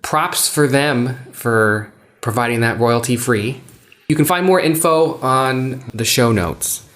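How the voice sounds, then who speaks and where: A man's voice: medium pitch, slow, a bit bright. A man hosting a podcast in casual, unscripted chat, rambling and self-deprecating. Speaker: podcast host and reader.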